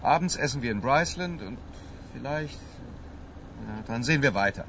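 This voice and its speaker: An actor in a radio drama playing an evasive middle-aged husband who talks placatingly and turns agitated under pressure. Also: male voice, mid-range voice, animated, moderate pace, a little hiss or hum. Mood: falsely cheerful. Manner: placating, swinging from muttered to loud.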